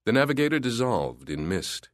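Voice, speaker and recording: A man narrating a literary story in a measured, descriptive way. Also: mid-range voice, very animated, no echo, clean studio sound. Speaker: audiobook narrator.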